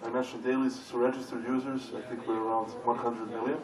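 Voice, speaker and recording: A man's voice: mid-range voice, flat delivery, fast talker, crowd noise. Man, distant in echoey hall. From Serbia. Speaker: conference panelist. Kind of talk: conference panel talk. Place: large conference hall with audience. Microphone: stage microphone heard from far off.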